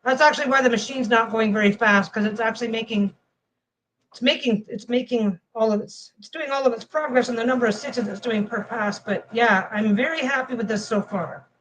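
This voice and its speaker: A woman's voice: fairly high pitch, fairly quick, a bit bright, slight background noise, rough recording. A woman vlogging casually as she sews a quilt panel at home, rambling and often restarting her phrases. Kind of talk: casual chat while sewing.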